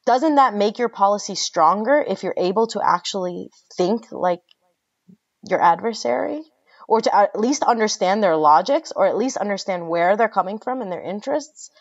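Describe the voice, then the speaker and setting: A woman of middle pitch speaks as an academic guest, pressing her point with earnest rhetorical questions. Her audio is dry and dull, with a muffled lo-fi sound. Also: expressive, moderate pace, dry recording. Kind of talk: conversational podcast interview.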